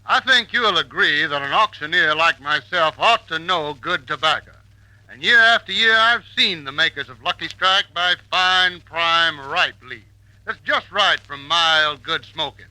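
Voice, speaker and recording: Bright man's testimonial. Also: fairly high pitch, unhurried, dry recording, low-quality recording. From North Carolina, USA. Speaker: tobacco auctioneer giving testimonial. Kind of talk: scripted radio testimonial.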